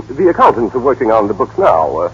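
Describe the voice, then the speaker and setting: An actor playing a scheming big-city lawyer with a hemming, patronizing manner in old-time radio drama. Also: male voice, mid-range voice, very animated, fairly quick, husky. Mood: persuasive. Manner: hemming, patronizing, formal address. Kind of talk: 1930s-40s radio drama acting. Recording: dull, noisy old radio broadcast.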